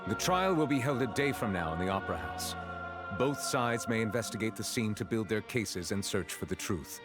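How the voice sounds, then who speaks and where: A man's voice: lowish voice, expressive, moderate pace, natural voice. A man voicing a solemn, authoritative chief judge in an anime game, formal, composed and grave. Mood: grave, official. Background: background music.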